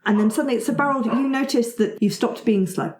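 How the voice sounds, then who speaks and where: A woman's voice: on the high side, brisk, darkish tone. A woman narrating and refereeing a tabletop game as game master. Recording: roomy, echoey recording.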